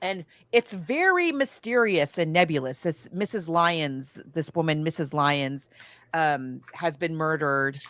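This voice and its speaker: A woman with a fairly low voice talks like a podcast host in a casual book discussion. She is chatty and enthusiastic, leaning on emphatic words. The sound is very dry, with no room echo. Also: a bit bright.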